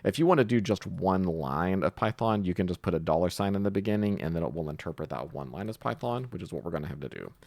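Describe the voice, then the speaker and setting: Very deep-voiced man giving a coding tutorial. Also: expressive, fast, few pauses, a little mellow, no echo, clean studio sound. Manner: informal, thinking aloud step by step. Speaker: programming tutorial host. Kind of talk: casual screencast coding tutorial, explaining while typing.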